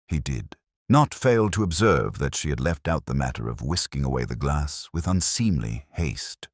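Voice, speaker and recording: A man reading a literary mystery story as narrator, in a measured, dryly wry manner. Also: rumbling low, very animated, no echo, studio quality.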